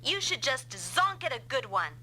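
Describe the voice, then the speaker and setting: A woman's voice: high voice, very animated, normal speed, bright, forceful tone, husky, exaggerated voice. A woman voicing a flippant, teasing, girlish female sidekick in an anime-style game dub. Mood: teasing, playful.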